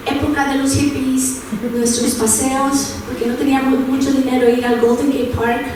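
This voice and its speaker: A woman's voice: high voice, little pitch movement, normal speed, far from the mic, crowd noise. A woman from El Salvador, raised in San Francisco, leading a workshop in a conversational way, with many fillers and tag questions. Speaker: workshop presenter. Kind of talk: informal academic workshop talk. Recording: echoey room picked up from afar with noise.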